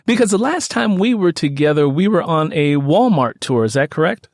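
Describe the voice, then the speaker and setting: A man's voice: on the high side, big pitch swings, moderate pace, a little mellow, no room sound, studio quality. A man hosting a podcast interview in an effusive way, often repeating his phrases. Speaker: podcast host.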